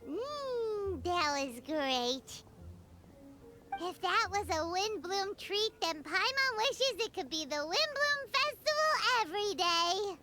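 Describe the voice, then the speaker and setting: A woman voicing a tiny, excitable, childlike fairy companion who chirps away about herself in the third person in a video game. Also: extremely high pitch, slow, heavily acted. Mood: contented. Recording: music playing behind the voice.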